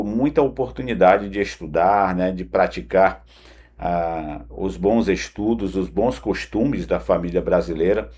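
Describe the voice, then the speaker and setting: Male devotional host, deep register with some rasp, earnest conversational delivery straight to the listener. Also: a little mellow. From Pará, northern Brazil. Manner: earnest, direct address with frequent "né" tags. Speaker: host of a morning devotional, former broadcaster. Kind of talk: conversational morning devotional talk. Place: home studio.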